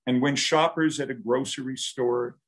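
A man in his sixties giving a scripted commencement speech as a university dean, in a measured, formal way over a video call. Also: medium pitch, fairly even intonation, slightly rough. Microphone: video call, computer microphone.

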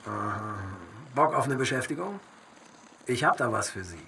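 An actor in a radio play playing an impatient, flippant heavenly clerk, sarcastic and colloquial. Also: male voice, medium pitch, expressive, normal speed, gravelly, pushed and bright, a little hiss or hum.

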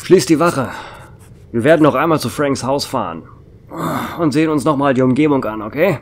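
An actor playing a frustrated, gruff small-town police chief in a German audio drama, brusque but caring. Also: male voice, mid-range voice, brisk, lightly acted. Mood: impatient.

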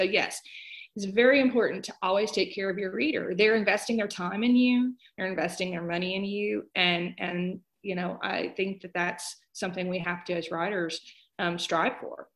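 A woman's voice: mid-range voice, steady tone, moderate pace. A woman author talking as a guest in a conversational interview.

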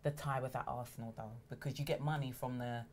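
A woman's voice: extremely low pitch, fairly even intonation, fast, a little mellow. A woman, co-presenting a football show in casual chat on a lapel mic. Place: small indoor studio set.

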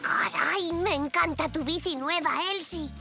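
A woman's voice: extremely high pitch, measured pace, vocal fry, sharp tone, faint music behind, exaggerated voice. An actress playing a curious, hesitant five-year-old boy zebra in a cartoon. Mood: curious, unsure. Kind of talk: cartoon dub acting.

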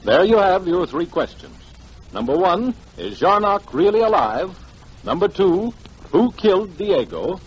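A man announcing formally and emphatically in the style of 1940s radio promotion, with a husky voice. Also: sits high, sing-song, measured pace. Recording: dull, lo-fi 1940s radio broadcast.

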